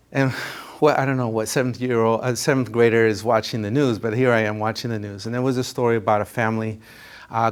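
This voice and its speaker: A man's voice: mid-range voice, clear, resonant tone. Earnest middle-aged counselor telling his story. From Ecuador. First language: Spanish. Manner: earnest storytelling with frequent fillers and restarts. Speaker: college counselor. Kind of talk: personal story in a presentation.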